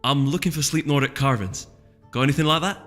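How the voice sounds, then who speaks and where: A man's voice: a little high, fast talker, fairly bright tone, pitched above the performer's own voice, close to an everyday voice. A man performs a young female storybook pony with a Scottish accent. She is bubbly, adventurous and eager to chatter, set in long reverb over music. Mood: excited, gushing.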